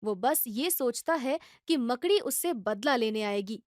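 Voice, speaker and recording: A woman narrates a children's cartoon story in an even, storytelling voice. Her voice sits high, and the recording is dry and close. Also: a little slow, no echo.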